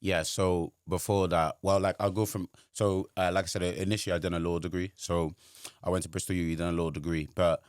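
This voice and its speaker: A man's voice: low-pitched, level tone, a little fast, dry recording, clean studio sound. A man, a guest telling his career story in casual chat, rambling with lots of fillers. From Wales. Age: 20s. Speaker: guest, sports journalist.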